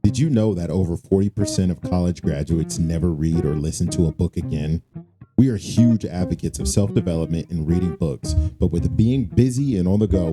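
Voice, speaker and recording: A man, speaking informally and laid-back as a podcast co-host. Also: low voice. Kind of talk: casual conversational podcast chat.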